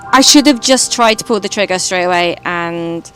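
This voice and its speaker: A British woman with a high voice, streaming and chatting casually while gaming, rambling and swearing now and then. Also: normal speed, a bit bright, faint music behind, rough recording. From Britain.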